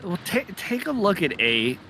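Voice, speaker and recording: A man casting an esports match live, with excitable, exclamatory commentary on the play as it unfolds. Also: high voice, moderate pace, a little hiss or hum, poor audio. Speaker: esports caster.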